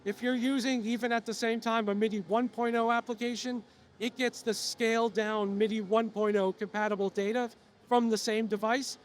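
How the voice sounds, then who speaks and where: A man with a notably high voice walks an audience through software features at a trade-show presentation. He is losing his voice and coughs now and then, but keeps a steady, explanatory pace, leaning on "uh" fillers and small restarts. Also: normal speed.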